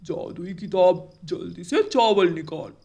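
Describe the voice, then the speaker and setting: Cartoon crow husband, male actor: greedy, hot-tempered schemer, gruff and demanding delivery. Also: sits high, a little slow, mellow, heavily acted. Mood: bossy, urgent. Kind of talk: Hindi children's cartoon dialogue.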